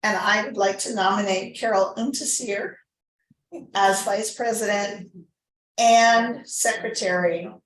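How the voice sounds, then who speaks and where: A woman's voice: mid-range voice, steady tone, slow pace, slight background noise. A woman chairing a formal board meeting, moving through procedure with conversational, informal asides. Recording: echoey room recording.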